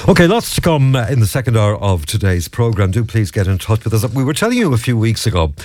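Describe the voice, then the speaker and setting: Irish man, radio presenter, lowish voice, dry studio sound. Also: fast, no echo. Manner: steady newsreader delivery with some fillers. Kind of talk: local radio news and interview. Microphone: studio microphone.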